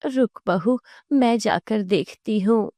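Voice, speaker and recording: A woman voicing an older village mother-in-law, caring and gently scolding. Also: mid-range voice, takes it slowly, dry recording, clean studio sound, lightly acted. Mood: concerned.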